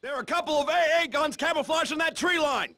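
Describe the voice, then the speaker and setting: High, forceful army officer. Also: male voice, quick pace, no echo, studio quality, heavily acted. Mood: urgent. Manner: shouting, clipped commands. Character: urgent male WWII paratrooper officer barking orders. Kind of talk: video game cutscene acting.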